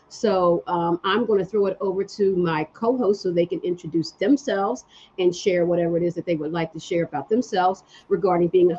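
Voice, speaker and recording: A woman's voice: fairly low pitch, darkish tone, highs cut off, a little hiss or hum. A woman hosting and producing a live online panel show, chatty with lots of fillers, speaking over a video call. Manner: friendly, chatty with fillers, multitasking. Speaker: host and executive producer.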